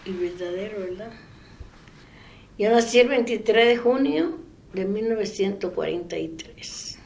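A woman in her eighties from the Dominican Republic with a Dominican accent, giving a soft-spoken oral history interview. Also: mid-range voice, lively intonation, measured pace, vocal fry. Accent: Dominican Spanish. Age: 80s. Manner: soft-spoken, trailing off into quiet.

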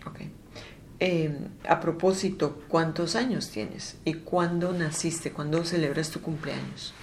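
A woman interviewing a friend for an oral history, gentle and hesitant with many fillers. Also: deep voice, slow, a little hiss or hum.

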